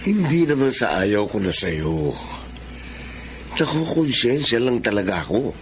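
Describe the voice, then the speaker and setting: A man's voice: mid-range voice, very animated, unhurried. A man playing a guilt-ridden lover in a secret affair, speaking earnestly and hesitantly in a Tagalog radio drama. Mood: guilty, troubled. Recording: faint background noise.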